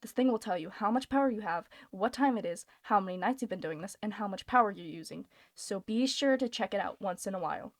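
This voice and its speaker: A woman voicing a female radio guide who gives steady, soft-spoken night-shift instructions for an audition. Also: mid-range voice, fast talker, few pauses, no room sound. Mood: instructive. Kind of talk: voice-acting audition read.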